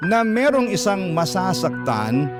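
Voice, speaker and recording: Filipino man narrating over loud music. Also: sits high, sing-song, measured pace. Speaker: radio host reading listener letters. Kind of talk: dramatic letter narration.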